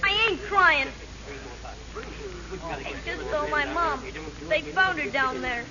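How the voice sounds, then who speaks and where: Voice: high-pitched, slow. An actor playing a stubborn, grieving orphaned East Side boy who talks in street slang, in a 1940s radio drama. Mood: grieving, brave. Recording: muffled vintage radio recording, crowd behind.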